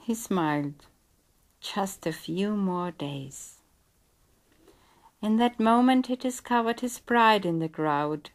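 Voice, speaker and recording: Female narrator, unhurried pace, storybook narration, measured and dramatic, mid-range pitch. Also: lively intonation.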